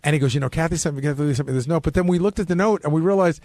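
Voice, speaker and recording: Fast-talking man on radio, blunt. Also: on the high side, a little mellow, dry recording, clean studio sound. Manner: blunt, profane, conversational. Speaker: radio show panelist and staffer. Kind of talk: casual opinionated radio discussion. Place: radio studio.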